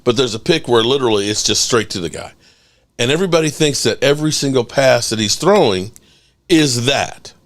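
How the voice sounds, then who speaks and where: A middle-aged man runs a sports call-in show, joking, cursing and talking with a lively, wide-ranging pitch. His voice sits at an average height and sounds dry and close, with no room around it. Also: brisk, no echo. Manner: animated, joking, swears freely. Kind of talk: casual call-in show talk.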